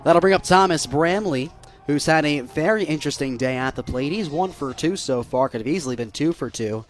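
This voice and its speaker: Young American man calling baseball, higher-pitched voice. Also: a little fast. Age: young adult. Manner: rises into excited calls on big plays. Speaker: baseball play-by-play broadcaster. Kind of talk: live radio sports play-by-play commentary.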